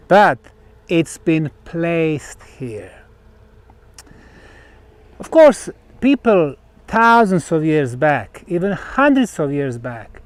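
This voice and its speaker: A man who is a native Bosnian speaker presenting on location in deliberate, emphatic short phrases with dramatic pauses. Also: a little high, dry recording. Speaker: presenter and researcher. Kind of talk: on-location explanatory monologue to camera. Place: outdoors on a granite rock platform.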